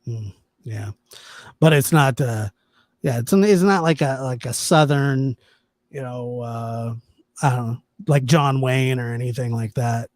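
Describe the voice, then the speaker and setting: A man's voice: medium pitch, a little slow, no echo, studio quality. A man hosting a call-in show, laughing easily and restarting his sentences. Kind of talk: casual call-in chat.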